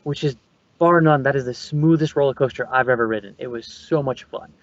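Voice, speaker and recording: A man's voice: medium pitch, a little fast, muffled recording. A man presenting as a guest consultant, talking casually from a small soundproof phone booth. He is good-humored and laughs easily. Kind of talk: casual conversation.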